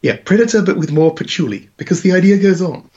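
Croaky man spinning a long idea. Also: high-pitched, expressive, fast talker, a little mellow. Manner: rambling, builds ideas with long setups. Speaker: podcast co-host. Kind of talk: casual chat pitching a game idea. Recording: dull lo-fi podcast audio.

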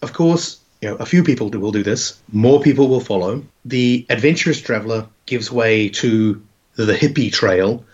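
A man with a croaky voice in casual chat, laying out a game idea with long, rambling setups. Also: medium pitch, normal speed. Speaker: podcast co-host. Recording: dull lo-fi podcast audio.

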